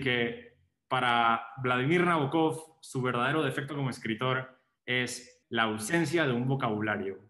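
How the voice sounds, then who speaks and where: A man's voice: mid-range voice, roomy sound. Guy chatting about a novel, reads quotes out, voice bounces around the room. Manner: animated, reads quotations aloud. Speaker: book discussion host. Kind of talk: casual literary discussion.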